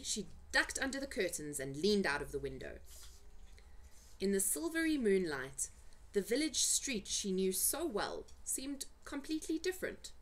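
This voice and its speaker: A woman reading a children's story aloud, with dramatic, suspenseful delivery and emphasized words. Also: mid-range voice. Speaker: amateur storyteller reading for family. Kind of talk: expressive children's storybook narration.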